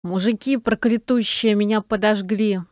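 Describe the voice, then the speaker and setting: An actress playing a sharp-tongued, scolding peasant wife with rustic, folksy speech in an audiobook drama. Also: female voice, mid-range voice, steady tone, moderate pace, dry recording, clean studio sound, lightly acted. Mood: sullen defensive.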